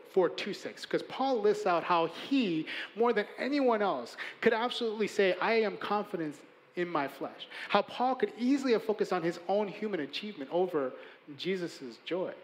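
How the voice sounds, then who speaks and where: Earnest preaching man with a high voice. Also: long reverb tail, low-quality recording. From Chicago, USA. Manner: earnest, emphatic preaching with building lists and hushed endings. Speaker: pastor. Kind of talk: sermon.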